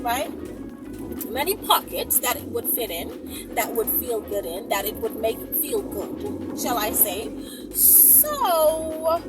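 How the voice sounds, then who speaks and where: A woman with a high, very animated voice chats to her viewers like a vlogger. Her delivery is emphatic and teasing, with mock sympathy. She sounds some way from the microphone, with loud music playing behind her. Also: distant mic. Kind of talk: casual direct-to-viewer chat.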